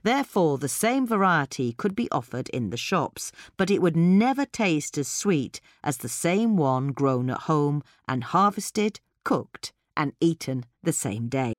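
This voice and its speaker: A woman's voice: low voice, big pitch swings, a little slow, hardly any pauses, no echo, studio quality. A woman presenting a gardening guide as scripted instructional narration.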